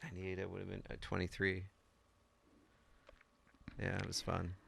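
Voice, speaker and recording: Gravelly man, 40s, from washington state, rambling, low flat delivery. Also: normal speed. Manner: rambling, searching for words, many fillers. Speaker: guitarist, interview guest. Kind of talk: casual interview chat.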